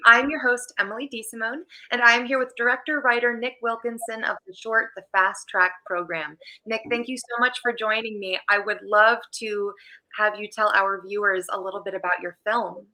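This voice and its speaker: A woman's voice: on the high side, fairly even intonation, moderate pace, bright, forceful tone, poor audio. A woman hosting a film festival interview, giving a polished, scripted welcome and asking questions. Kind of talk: hosted interview.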